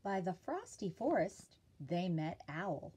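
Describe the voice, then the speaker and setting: A woman's voice: lowish voice, very animated, slow pace, mellow, slightly rough. A woman reading a children's picture book aloud expressively as a library storytime narrator.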